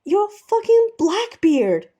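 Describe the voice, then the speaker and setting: Woman acting a pleading, flustered gentleman pirate, high-pitched. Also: sing-song, takes it slowly, exaggerated voice. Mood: desperate. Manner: pleading, earnest. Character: desperate, apologetic gentleman pirate, earnest and flustered.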